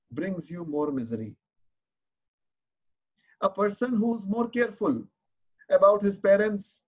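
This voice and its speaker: A man's voice: high-pitched, lo-fi sound. A man is teaching in a live online Q&A. He explains each point earnestly and patiently, and doubles back on words while he searches for the next one. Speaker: Reiki teacher answering questions.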